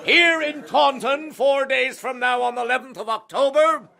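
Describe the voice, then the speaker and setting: A man playing a severe, pompous judge with a creaky voice in a period radio drama. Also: normal speed, sharp tone, exaggerated voice. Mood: solemn, severe. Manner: booming, solemn, outraged. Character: severe, pompous male judge passing sentence.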